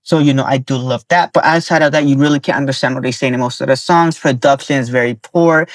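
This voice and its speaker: A man with a hoarse, worn voice reviewing albums from notes in a casual way, often correcting his own slips. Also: medium pitch, steady tone, dry recording. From Nevada, US. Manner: reads quick notes, corrects himself, chuckles at slips.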